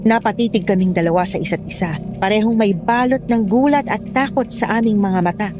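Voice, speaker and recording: A woman's voice: medium pitch, brisk. A woman reading a scripted first-person horror story as narrator. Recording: music behind the voice.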